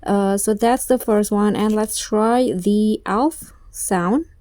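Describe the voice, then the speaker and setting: A woman narrating a casual software tutorial as its host. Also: mid-range voice, slow, a little hiss or hum.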